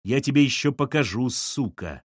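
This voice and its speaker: A man's voice: on the high side, brisk, no echo, studio quality, pitched above the performer's own voice, lightly acted. A man voicing a spiteful young male colleague who sneers and threatens, in an audiobook reading. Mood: menacing. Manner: sneering, vicious.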